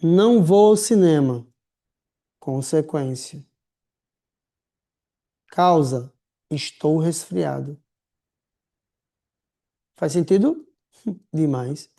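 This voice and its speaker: A man teaching a writing class in a lively, slangy, chatty way, calling his students affectionate names. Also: on the high side, drawn-out words, vocal fry, pauses often. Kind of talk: casual recorded lecture.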